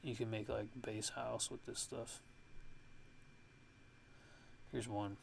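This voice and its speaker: A man giving a casual music production tutorial in a halting, laid-back, ASMR-style way, talking offhand. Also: lowish voice, flat delivery, gravelly, a little hiss or hum.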